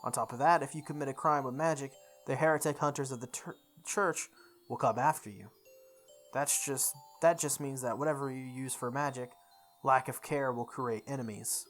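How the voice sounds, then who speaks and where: Visual novel playthrough narrator, medium pitch, deliberate read-aloud delivery with small stumbles, music underneath. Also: steady tone, a bit bright. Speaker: let's play host reading a visual novel aloud. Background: background music.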